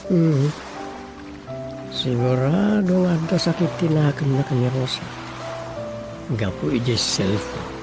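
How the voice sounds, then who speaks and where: Grumbling Ilocano man for radio drama: medium pitch, gravelly creak, muttering, loud music underneath. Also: slow, long pauses. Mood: brooding.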